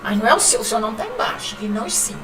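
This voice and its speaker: A woman's voice: fairly high pitch, very animated, racing, recorded from a distance, music in the background. A woman from Northeast Brazil with a northeastern Brazilian accent, a podcast guest chatting casually, laughing often and repeating words as she talks. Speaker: guest, writing company founder.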